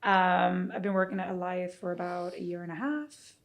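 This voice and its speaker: A woman's voice: fairly low pitch, steady tone, moderate pace, muffled recording. A woman from Sweden chatting casually as a podcast guest and fitness coach. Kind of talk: casual chat.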